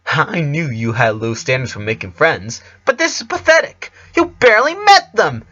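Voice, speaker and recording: A man's voice: fairly high pitch, sing-song, normal speed, sharp tone, highs cut off, voice pushed up, full character voice. A man voicing a haughty, scornful countess, a sinister tormentor in a storybook reading, taunting with contempt.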